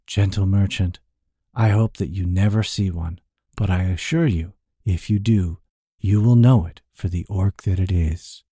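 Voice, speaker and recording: A sly, smooth-talking rogue, as a male narrator plays him, purring his words slowly and grandly. His voice sits low and warm, and the sound is dry and close. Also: dry recording.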